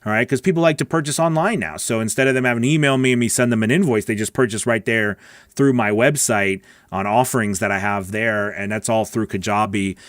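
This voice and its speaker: A man's voice: on the low side, a little fast, fairly bright tone, dry recording. A middle-aged man chatting casually and directly as a livestream host and coach, answering questions on a close studio mic. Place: small home recording studio. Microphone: close broadcast mic on boom arm.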